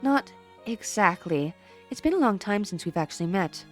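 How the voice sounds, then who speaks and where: A woman voicing a gentle, polite, composed teenage schoolgirl in a visual novel, speaking in a soft, measured, courteous way. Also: medium pitch, expressive, soft background music, lightly acted.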